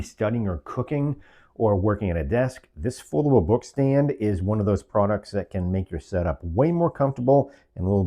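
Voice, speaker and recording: Low, mellow male product reviewer. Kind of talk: product review demonstration, semi-scripted.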